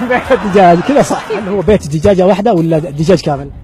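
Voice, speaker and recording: Rapid-talking Yemeni man, teasing interviewer. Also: high voice, big pitch swings, a crowd in the background. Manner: teasing, coaxing, laughs often. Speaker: street interviewer, show host. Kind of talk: casual street quiz.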